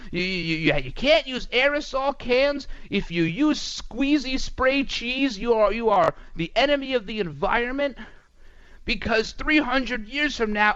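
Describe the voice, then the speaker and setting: Male talk radio host, high voice, dry studio sound, sarcastic and animated. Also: no echo, muffled recording. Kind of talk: political commentary monologue.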